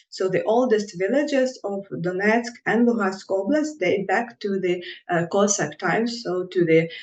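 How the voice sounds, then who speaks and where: A woman researcher, giving measured academic answers in an interview with frequent pauses. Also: mid-range voice, darkish tone, roomy sound, poor audio. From Ukraine.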